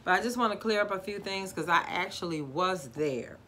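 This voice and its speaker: A woman's voice: medium pitch, normal speed, a little hiss or hum. A woman in her forties from Baltimore with a Baltimore accent, chatting casually to viewers in a living-room video review. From Baltimore, USA. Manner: animated, talks with her hands, addresses viewers as guys. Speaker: YouTube vlogger. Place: decorated living room, indoors.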